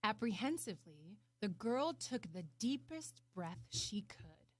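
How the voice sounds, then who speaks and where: A woman narrating a children's story in an expressive, deliberate way. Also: mid-range voice, dry recording. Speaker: storybook reader.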